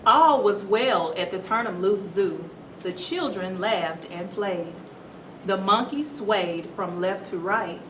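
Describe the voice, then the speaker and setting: A woman reading a children's story aloud to schoolchildren in a teacherly, animated way, stopping to put questions to them. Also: mid-range voice, takes it slowly. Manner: teacherly, asks the listeners questions. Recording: roomy echo, distant mic, noisy background.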